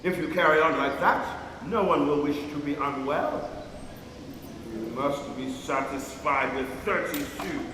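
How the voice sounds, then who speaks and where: Picture an actor as a pompous, self-pitying male hypochondriac in a stage comedy, grumbling and scolding in a high, piercing voice. It rings around a very echoey hall with faint noise behind it. He is querulous and theatrical in his delivery. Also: a little slow, distant mic, lightly acted. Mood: indignant haggling. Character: fussy, irascible, self-pitying male hypochondriac master of the house.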